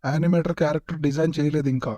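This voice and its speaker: A man's voice: on the high side, fairly even intonation, very fast, warm tone, no echo, lightly acted. A man playing a scheming adult prince, a dutiful elder brother, in a comic cartoon parody. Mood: earnest, plotting.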